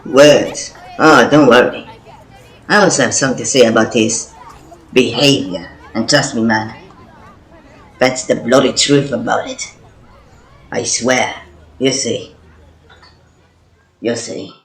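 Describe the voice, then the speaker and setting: A man's voice: medium pitch, takes it slowly, pauses often, a bit bright. Man acting blunt, rambling guy in echoey room. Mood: insistent. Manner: rambling, hesitant, sweary. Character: blunt, foul-mouthed male squad member named Johnson. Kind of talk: amateur audio drama acting.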